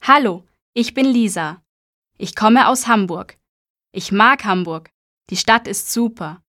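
A woman's voice: mid-range voice, animated, sharp tone, no echo, studio quality. A sporty teen girl. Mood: cheerful. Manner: slow, clear self-introduction. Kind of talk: scripted textbook audio.

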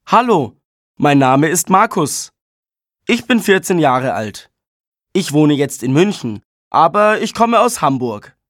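Casting: a friendly fourteen-year-old boy, slow and dry. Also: on the high side, very animated, fairly bright tone, dry recording, exaggerated voice. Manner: slow, clear self-introduction. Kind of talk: scripted textbook audio.

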